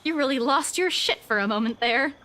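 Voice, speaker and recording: A woman's voice: high-pitched, expressive, fairly quick. A woman voicing a frightened young woman in a horror visual novel reading, teasing and pleading. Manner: teasing, then quiet and shaky.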